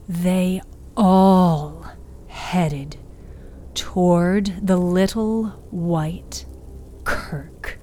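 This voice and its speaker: A woman narrating a dark story in a hushed, breathy, suspenseful, dramatic manner. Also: on the low side, slight background noise. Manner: slow, hushed, suspenseful delivery. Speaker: narrator and author of an audio fiction podcast.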